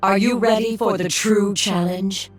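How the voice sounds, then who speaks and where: A woman's voice: medium pitch, fairly even intonation, unhurried, soft background music, close to an everyday voice. A woman playing a regal female dragon guardian in a video game, formal and commanding. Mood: eager, challenging. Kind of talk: video game character voice lines.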